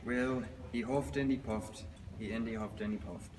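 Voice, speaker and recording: A man with a fairly low voice reads a children's fairy tale aloud as a storyteller. He is recorded in a reverberant hall, with clear background noise. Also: steady tone, moderate pace, darkish tone.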